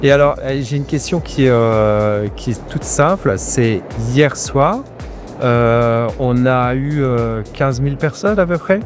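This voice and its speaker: A man's voice: medium pitch, slow pace, over music. A man interviewing an artist in a friendly, informal way, often repeating words as he asks questions. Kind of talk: casual interview at an art fair.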